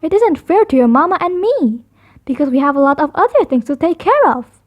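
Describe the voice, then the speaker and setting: A storybook father bear, put on by a woman reader, firm and reasonable as he addresses the family. The voice is high for the part, with very lively swings in pitch. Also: brisk, dull audio. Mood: firm, lecturing.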